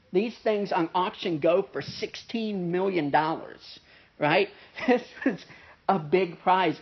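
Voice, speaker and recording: Nasal, gravelly male preacher. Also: sits high. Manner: conversational preaching with frequent "right?" and "uh" fillers. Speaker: pastor. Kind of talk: sermon. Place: church service with a pianist present. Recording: dull, muffled sermon recording.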